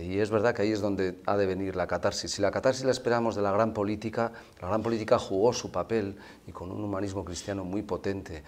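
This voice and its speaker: A man, a university lecturer, speaking in a measured, erudite way and often checking in with short tag questions. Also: fairly low pitch, darkish tone. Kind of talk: conversational discussion.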